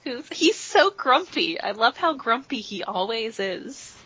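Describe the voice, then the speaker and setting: Brief: woman podcast host, bright and a little husky, chatty and rambling, dry lo-fi sound. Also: fairly high pitch, sing-song, a little slow, no room sound. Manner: chatty and rambling, full of fillers. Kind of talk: casual chat, recapping comics. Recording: dull lo-fi podcast audio.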